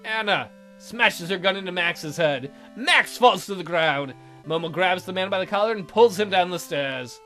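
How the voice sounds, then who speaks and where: A woman's voice: fairly low pitch, lively intonation, moderate pace, fairly bright tone, soft background music. A woman hosting a let's play, narrating a visual novel and chatting with joking, rambling asides.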